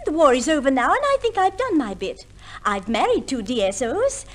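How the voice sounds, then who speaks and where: Fickle society wife, extremely high voice, swooping intonation, affected, airy, 1950s radio comedy. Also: female voice, sing-song, moderate pace. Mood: matter-of-fact. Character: spoilt, fickle, coolly practical society wife.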